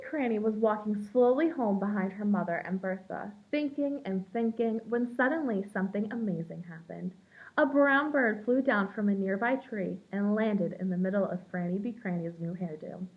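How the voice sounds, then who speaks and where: A woman reading a children's picture book aloud in an expressive storytelling manner, as a preschool teacher does for young children. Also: mid-range voice. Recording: dull lo-fi sound.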